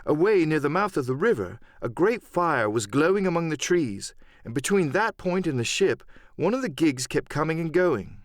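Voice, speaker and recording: A man's voice: fairly high pitch, sing-song, normal speed, dry recording, clean studio sound. A man reading a first-person adventure story as a dramatic scripted audiobook narration.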